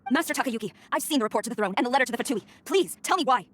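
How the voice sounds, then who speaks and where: A woman's voice: on the high side, lively intonation, rapid-fire, a bit bright. An actress playing a stern, dutiful young woman general in a video game, speaking in a formal, commanding and earnest way. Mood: pleading, solemn. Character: stern, dutiful young female general, betrayed by her master. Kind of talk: dramatic video game acting.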